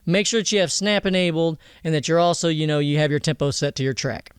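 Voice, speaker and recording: A man presenting a casual software tutorial in a slangy, jokey, irreverent way, speaking close to a studio microphone. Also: a little high, quick pace, clear, resonant tone, no room sound.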